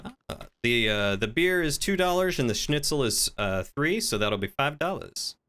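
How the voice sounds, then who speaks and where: A man's voice: medium pitch, very animated, moderate pace, pushed and bright. A man improvising in-character roleplay chat as a courteous, folksy tavern keeper in an online game.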